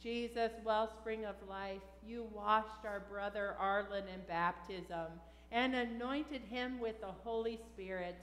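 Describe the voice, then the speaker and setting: A middle-aged woman speaking as a pastor, leading liturgical prayer in a measured, solemn way in a church. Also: medium pitch, steady tone, slight background noise. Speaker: pastor leading a funeral service. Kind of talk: liturgical prayer and creed recitation. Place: large church sanctuary, at the altar. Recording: echoey church hall with long reverb.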